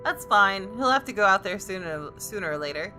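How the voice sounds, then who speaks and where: A woman gaming creator reading visual novel narration aloud, with expressive reactions. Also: medium pitch, brisk, soft background music. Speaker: gaming YouTuber.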